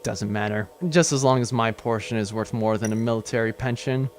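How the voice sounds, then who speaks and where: A man's voice: on the low side, steady tone, a little fast, lightly acted. A man playing a wry, scheming military officer and pilot in a sci-fi audio drama, dry and nonchalant. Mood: weary, dry.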